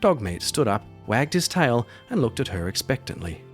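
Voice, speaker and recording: A man's voice: mid-range voice, big pitch swings, moderate pace, music in the background. A man hosting a solo roleplaying podcast, delivering scripted commentary and narration. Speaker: podcast host and solo game master.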